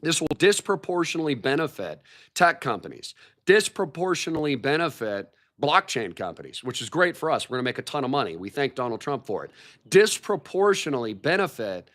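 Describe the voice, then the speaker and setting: Hoarse hyped-up livestream host man. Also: mid-range voice, very animated, brisk, fairly bright tone. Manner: hyped, repeats words like massive and shocking. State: hoarse, recovering from a cold. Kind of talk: excited livestream market commentary.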